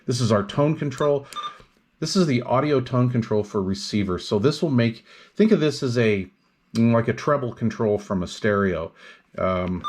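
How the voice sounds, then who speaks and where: A man reviewing radio equipment in a casual, hands-on demonstration, explaining things step by step without hurry. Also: fairly low pitch.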